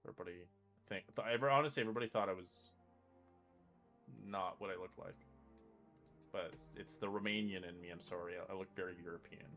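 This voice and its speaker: A man's voice: deep voice, fast talker, pauses often, a bit bright, faint music behind. A man chatting casually before a tabletop game, rambling and restarting his sentences, laughing easily.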